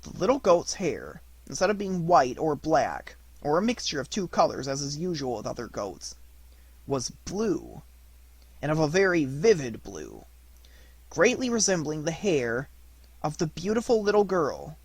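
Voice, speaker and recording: A man reading a children's storybook as an audiobook narrator, in a dramatic, deliberate manner. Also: on the high side, big pitch swings, breathy edge, no echo.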